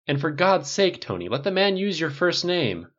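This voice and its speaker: A man's voice: mid-range voice, animated, fairly quick, vocal fry, muffled recording. A man doing a dry, teasing military buddy.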